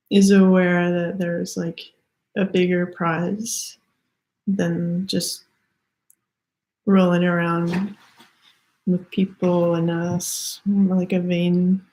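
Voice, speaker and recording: A woman with a Canadian accent giving a halting, thoughtful spiritual card reading, full of fillers and restarts. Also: lowish voice, rough recording. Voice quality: soft-spoken, hesitant, many fillers and restarts. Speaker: tarot reader.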